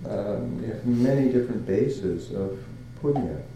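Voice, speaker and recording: An older man from Canada giving a Buddhist talk as a monk, halting and searching for words with many fillers and soft chuckles. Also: fairly low pitch, animated, heavy reverb, a little hiss or hum, poor audio. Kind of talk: dharma talk.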